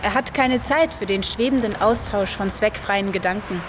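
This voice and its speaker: A woman's voice: mid-range voice, moderate pace. A woman reading scripted narration for a radio feature. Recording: clear street and traffic noise.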